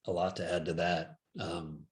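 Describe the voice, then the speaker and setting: A man in a reflective group conversation, speaking slowly and hesitantly with many pauses and ums. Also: low-pitched, little pitch movement.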